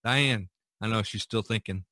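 Low-voiced man hosting a casual podcast. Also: moderate pace, pushed and bright, dry recording. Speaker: podcast host.

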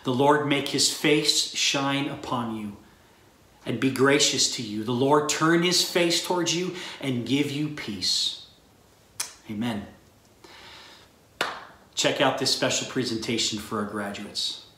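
A middle-aged man, a pastor, giving a recorded sermon to graduates in an earnest, measured way from a small room. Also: mid-range voice, sharp tone, reverberant. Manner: earnest, measured preaching delivery. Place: small room with a bookshelf, indoors.

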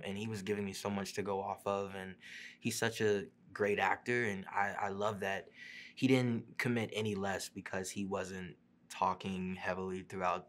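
A young man, an actor, answering interview questions casually with fillers and restarts, seated in a studio. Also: sits low. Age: young adult. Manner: relaxed, many fillers and restarts. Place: studio seat before a printed backdrop.

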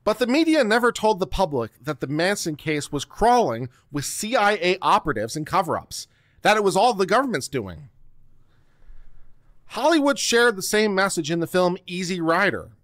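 A middle-aged man giving a theatrical, deliberate dramatic reading of a written text, close on the microphone. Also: high-pitched, very animated, a bit bright, no echo. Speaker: host reading a document aloud. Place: small home studio room. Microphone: close microphone on a boom arm.

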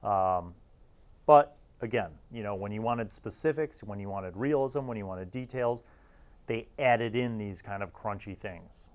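A man's voice: on the low side, unhurried. A man in his forties hosting a casual review, talking conversationally with emphatic asides into a lapel mic. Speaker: host of a tabletop gaming review series. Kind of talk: casual review and commentary on a magazine.

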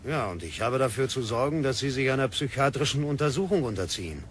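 An actor playing a dutiful colonial police sergeant in an old German radio drama, speaking in an official, coaxing way. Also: male voice, medium pitch, expressive, fast talker, slight background noise, natural voice. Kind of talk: 1960s radio drama acting.

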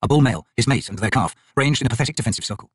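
A man reading a fantasy audiobook in a theatrical, solemn, expressive manner. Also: medium pitch, racing, breathy edge. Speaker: audiobook narrator, actor. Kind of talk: dramatic scripted fantasy audiobook narration.

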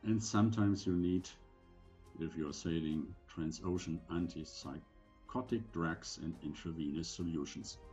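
An older man, around seventy, giving an instructional slide presentation in measured, deliberate short phrases, recorded indoors on a computer microphone. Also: sits low, dark tone, muffled recording, soft background music. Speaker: presenter giving medical advice for sailors.

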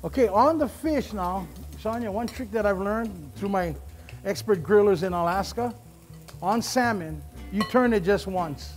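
A man's voice: high-pitched, animated, measured pace. A middle-aged man hosting as chef, giving a casual, encouraging cooking demonstration in a kitchen. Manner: instructing, encouraging. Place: studio show kitchen. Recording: music behind, sizzling pan.

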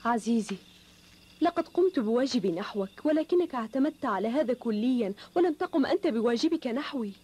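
A woman's voice: a little high, animated, a little fast, warm tone, husky. A woman playing a dutiful, firm young wife and mother in a cartoon dub, speaking in a formal, earnest way. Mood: firm, reproachful.

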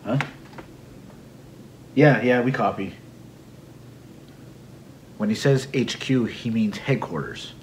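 A man's voice: mid-range voice, animated, slow, long pauses, slight background noise. A middle-aged man giving a casual, explanatory English idiom lesson with a teasing streak. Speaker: English teacher and video host. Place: small home room at a computer desk.

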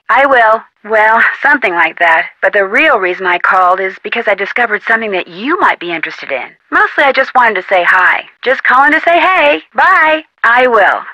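Young American female sleuth, game dialogue. Inquisitive, polite, chatty; slightly high voice, dry close sound. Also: pushed and bright, dry recording, poor audio. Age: young adult. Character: friendly, inquisitive young woman amateur detective. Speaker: video game character voice actor. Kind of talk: scripted adventure game dialogue, phone-call delivery.

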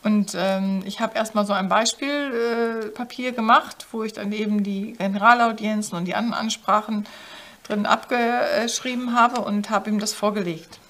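Older woman interpreter, mid-pitched, hesitant, full of fillers, studio setting. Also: fairly even intonation, moderate pace, fairly bright tone. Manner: hesitant, with many fillers and self-corrections. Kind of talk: consecutive interpreting. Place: studio with backdrop.

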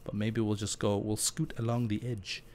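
A man's voice: fairly low pitch, little pitch movement, normal speed, a little mellow. A man giving casual, chatty let's play commentary in an informal, self-deprecating and jokey way. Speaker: gaming YouTuber host.

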